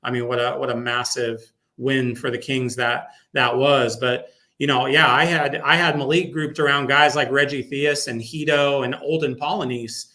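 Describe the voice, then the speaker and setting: A man's voice: mid-range voice, steady tone, moderate pace, hardly any pauses, pushed and bright. A man speaking casually as a guest in a sports radio interview, telling stories with emphatic repetition. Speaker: guest, sports public address announcer.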